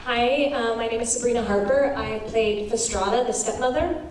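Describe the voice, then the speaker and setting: A woman's voice: a little high, normal speed, recorded from a distance. A woman with an American accent casually introducing herself as a stage actress. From Southern California, United States. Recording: very echoey hall, loud noise.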